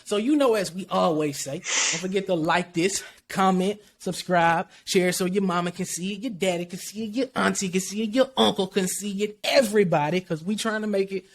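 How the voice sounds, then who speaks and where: High-voiced, emphatic podcast host. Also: male voice, a little fast, few pauses. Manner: loud, emphatic, swears freely and repeats hype phrases. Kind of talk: casual group podcast chat.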